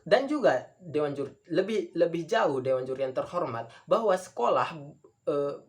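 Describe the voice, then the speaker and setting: Man delivering a halting formal debate speech. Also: on the high side, lively intonation. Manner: earnest, halting, emphatic repetition of phrases. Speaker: student debate speaker.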